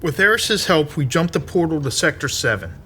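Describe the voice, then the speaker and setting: Forceful, brusque military sergeant. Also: male voice, fairly high pitch, very animated, brisk, slight background noise. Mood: matter-of-fact. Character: gruff, defensive military sergeant recounting a mission. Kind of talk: audio drama narration in character.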